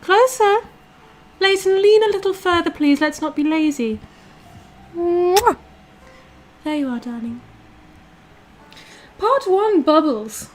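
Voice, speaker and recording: A woman's voice: very high-pitched, expressive, faint music behind, full character voice. A British woman playing a bossy, condescending aristocratic girlfriend called a duchess, speaking imperiously to her livestream viewers. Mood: commanding, teasing. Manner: imperious, pompous, condescending, drawn-out emphasis. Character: haughty, bossy aristocratic dominant girlfriend calling herself Duchess. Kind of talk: in-character roleplay livestream, reading and answering chat.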